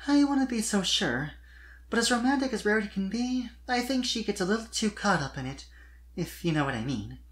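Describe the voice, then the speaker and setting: A performer voicing a kind, perceptive young female unicorn pony in a soft, earnest way for a cartoon fan story. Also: medium pitch, big pitch swings, brisk, voice pushed up, lightly acted. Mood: tender, earnest.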